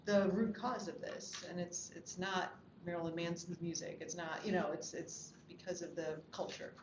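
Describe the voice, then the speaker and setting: A woman with a lowish voice talks informally in a group discussion, feeling out her points in loose phrasing. The room rings around her, and the recording is muffled with clear background noise. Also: steady tone, moderate pace, roomy sound. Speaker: table read participant giving script feedback.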